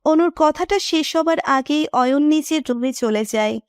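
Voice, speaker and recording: A woman narrates a scripted romantic tale in a high voice. The sound is dry and close, with very little room around it. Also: unhurried, no echo. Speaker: story narrator.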